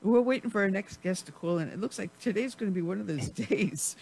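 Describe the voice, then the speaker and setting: A woman hosting a talk radio show, chatting in a rambling way with frequent laughs while her voice is going hoarse. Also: mid-range voice, animated, a little fast, a little mellow, low-quality recording. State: losing her voice. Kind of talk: casual talk radio chat.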